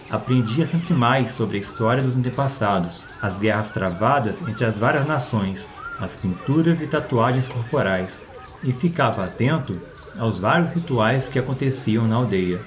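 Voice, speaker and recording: A male storyteller with a Brazilian accent and a mid-pitched voice. He delivers scripted narration for an audio tale. Clear ambient noise plays behind him. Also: animated, normal speed.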